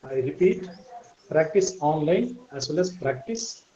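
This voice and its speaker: A man speaks earnestly and repeats his advice in an online lecture. His voice is mellow and sits a little high for a man. The audio is muffled and roomy, as over a video call. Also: animated, unhurried, a little hiss or hum. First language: Tamil. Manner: earnest, repetitive advice-giving. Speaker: webinar speaker. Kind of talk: lecture and Q&A answers. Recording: echoey, dull lo-fi call audio.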